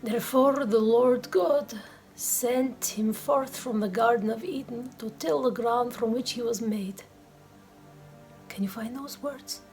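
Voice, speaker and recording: A woman playing a kindly, devout, blind old man in a radio play, reciting and teaching in a measured, gentle way. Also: a little high, soft background music, rough recording. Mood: patient, teaching.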